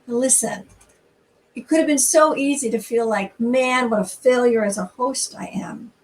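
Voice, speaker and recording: A middle-aged woman hosting a church storytime, talking informally and reading aloud in an expressive, conversational way straight to her listeners, recorded slightly away from the mic. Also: a little high, moderate pace, recorded from a distance, rough recording.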